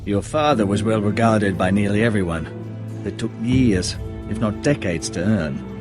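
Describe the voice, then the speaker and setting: An actor playing a learned, loyal castle advisor in a video game drama, formal and measured, giving counsel. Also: male voice, on the low side, lively intonation, over music, close to an everyday voice. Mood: solemn counsel.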